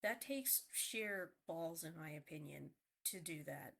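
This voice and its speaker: An adult woman speaks in a casual, heartfelt monologue, her voice a touch low and nasal from a recent cold. She rambles earnestly, circling back and restarting her sentences as she goes. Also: animated, measured pace, gravelly, a bit bright, breathy edge. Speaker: vlogger.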